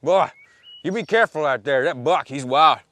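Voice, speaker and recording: A man playing an excitable, folksy hunter, rambling wildly in a comedy sketch. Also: fairly high pitch, slight background noise. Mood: manic warning. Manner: rambling, fast-talking, colloquial.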